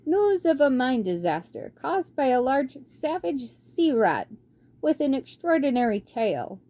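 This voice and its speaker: A woman voicing an ancient, fussy and forgetful male mouse scholar who squeaks barely above a whisper and mutters to himself. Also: sing-song, a little slow, mellow. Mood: reciting. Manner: doddering, muttering hmm and tutting. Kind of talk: audiobook character voice.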